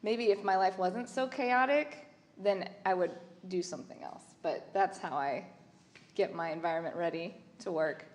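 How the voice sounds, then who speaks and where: A woman's voice: on the low side, normal speed. A woman artist speaking on a panel in a loose, chatty way, full of restarts and asides. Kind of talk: casual panel discussion.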